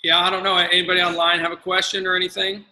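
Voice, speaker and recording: A man's voice: sits high, monotone, fast, pushed and bright, echoey room, low-quality recording. A man hosting a live question-and-answer forum, talking in a casual, conversational way. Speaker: forum host.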